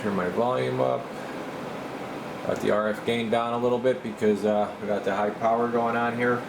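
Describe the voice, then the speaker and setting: A man working as a CB radio technician, talking casually at his workbench with easygoing pauses and frequent fillers. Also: mid-range voice, vocal fry. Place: repair shop workbench. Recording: loud steady fan hum behind.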